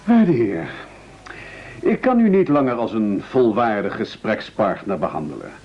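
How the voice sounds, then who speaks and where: An actor in a radio play playing a pompous, coldly domineering gentleman who gives orders with mock civility. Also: fairly low pitch, big pitch swings, a little slow, husky, exaggerated voice. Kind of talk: absurdist radio play acting. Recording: muffled old radio play recording.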